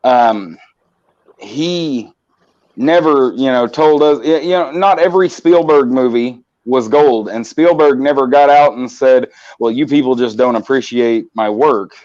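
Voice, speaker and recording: A man's voice: mid-range voice, measured pace, darkish tone. A man hosting a casual morning live-stream chat, talking in long, passionate runs with frequent restarts. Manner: rambling, passionate, many restarts. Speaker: host. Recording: slightly muffled audio.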